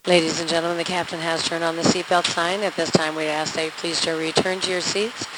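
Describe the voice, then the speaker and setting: Flat-toned cabin announcer man, loud plane noise. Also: on the high side, brisk. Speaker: flight attendant. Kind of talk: scripted inflight announcement. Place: airliner cabin public address system. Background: loud aircraft drone, clicks.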